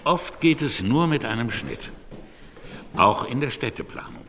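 An actor playing a coldly analytical architect lecturing in a detached, deliberate, rhetorical way in a reverberant hall. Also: male voice, medium pitch, sing-song, fairly bright tone, soft background music. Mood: cold, fascinated. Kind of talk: radio drama monologue, lecture. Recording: long hall reverb, faint noise.

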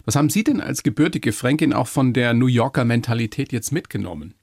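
A man's voice: medium pitch, animated, a little fast, gravelly, darkish tone, slightly rough, no echo. A man hosting an interview, asking chatty, leading questions. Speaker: radio interviewer.

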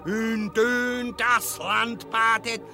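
An actor playing a pompous, bumbling tyrant with a Viennese accent in a grotesque comic radio drama. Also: male voice, extremely high pitch, little pitch movement, extremely slow, creaky, full character voice. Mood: grandiose. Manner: blustering, pompous. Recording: loud music behind the voice.

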